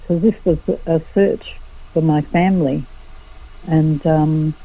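Slow, gravelly-voiced woman on a phone line. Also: low-pitched, steady tone. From Australia. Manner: slow, halting, many pauses and fillers. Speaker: guest artist. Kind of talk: radio interview.

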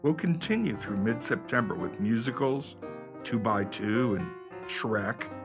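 Guy doing a scripted theatre promo on radio, lowish voice, guitar music behind. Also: lively intonation, a little slow. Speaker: community theatre president giving a promo. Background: guitar and piano music.

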